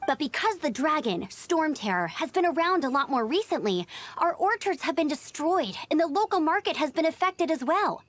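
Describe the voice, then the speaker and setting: A woman's voice: high-pitched, sing-song, moderate pace, fairly bright tone, a little hiss or hum. A woman playing an eager, upbeat young female knight and outrider in a video game, earnest and helpful in manner. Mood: earnest, concerned. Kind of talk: English video game voice acting. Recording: game music behind the voice.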